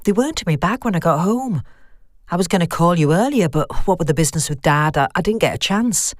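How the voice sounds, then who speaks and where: A woman voicing a concerned, frank female friend talking briskly on the phone in a horror story. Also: fairly low pitch, animated, no room sound, clean studio sound. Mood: concerned, explaining. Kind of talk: audio horror story dialogue.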